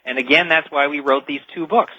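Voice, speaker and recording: A man's voice: on the high side, steady tone, a little fast, bright, forceful tone, husky, dry recording. A man speaking as an expert guest in a radio interview, explaining earnestly and emphatically, repeating key phrases. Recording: dull, dry lo-fi radio audio.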